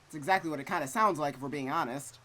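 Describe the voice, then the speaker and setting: A man hosting a visual novel playthrough, chatting casually with animated, wry reactions to what he reads. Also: on the high side, racing. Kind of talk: casual chatty let's play commentary, reading game text aloud. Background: game music, looping rain.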